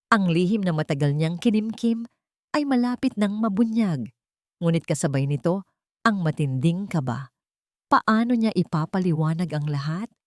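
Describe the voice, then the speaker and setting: Low-pitched Filipino woman narrating a drama. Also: darkish tone, no room sound, studio quality. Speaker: audiobook narrator. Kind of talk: dramatic scripted storytelling.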